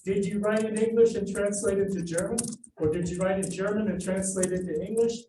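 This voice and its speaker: High, mellow-voiced man asking a question. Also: fairly even intonation, brisk. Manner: asking a multi-part question. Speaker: audience member asking a question. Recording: echoey room from far off, faint noise.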